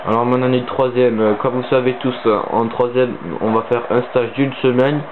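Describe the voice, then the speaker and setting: A teenage boy with a head cold talks in a stuffy, sniffling voice, rambling through a casual gaming commentary full of hesitations. His mid-pitched voice comes through a thin, phone-like mic. A faint hiss sits under it. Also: little pitch movement, normal speed. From southern France. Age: teens. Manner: rambling, hesitant with many fillers. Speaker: gaming channel host.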